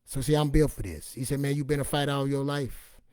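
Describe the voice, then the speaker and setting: Raspy man, mellow and streetwise. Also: medium pitch, steady tone, fairly quick, dry recording, clean studio sound. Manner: streetwise, emphatic, repetitive, acts out dialogue. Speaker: online motivational and faith speaker. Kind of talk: impassioned personal testimony, informal monologue.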